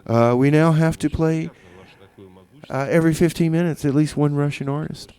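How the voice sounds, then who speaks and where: A man hosting a community radio music show, chatting casually in a halting, rambling way with deadpan comedy. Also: a little high, smooth. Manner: hesitant, rambling, halting with repeated words. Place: small community radio studio.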